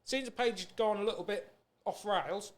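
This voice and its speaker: A man's voice: high-pitched, moderate pace. A British man hosting a podcast in a casual chat, talking in an informal, rambling, matey way. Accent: British English. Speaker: podcast host.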